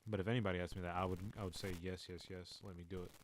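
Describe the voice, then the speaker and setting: A man's voice: deep voice, flat delivery, very fast, a little hiss or hum. A young man hosting his own podcast, rambling casually with lots of fillers, restarts and swearing. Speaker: podcast host. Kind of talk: casual solo talk.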